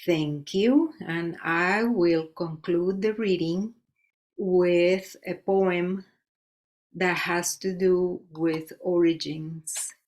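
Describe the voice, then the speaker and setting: A woman from Colombia, reading her own poetry in a slow, measured recital and slipping in words. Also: on the low side, fairly even intonation, darkish tone. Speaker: poet.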